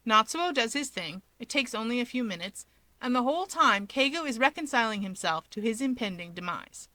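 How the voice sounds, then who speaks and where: A woman's voice: a little high, lively intonation, brisk, pushed and bright, dry recording. A woman reading fiction aloud as a scripted narrator. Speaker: story reader.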